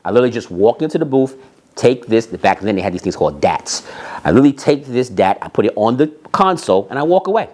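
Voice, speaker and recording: A man telling anecdotes in an animated, emphatic way, repeating phrases and acting out bits of dialogue. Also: mid-range voice, fast talker. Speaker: storyteller, music industry executive.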